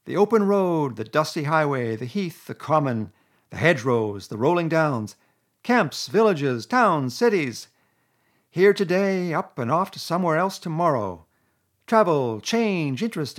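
A man voicing a boastful, excitable male toad in a storybook reading, loud and gushing. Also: on the high side, moderate pace, pitched above the performer's own voice.

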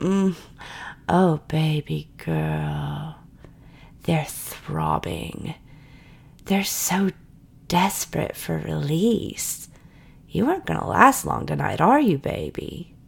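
A woman in an intimate audio roleplay, speaking softly and coaxingly, often dropping into whispers between breathy sighs and murmurs. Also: fairly low pitch. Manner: soft, coaxing and doting, slipping into whispers.

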